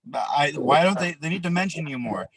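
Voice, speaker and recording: A man's voice: a little high, animated, a little fast, noisy background. A man in his thirties co-hosting a casual podcast, speaking in an earnest, enthusiastic way. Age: 30s. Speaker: podcast co-host.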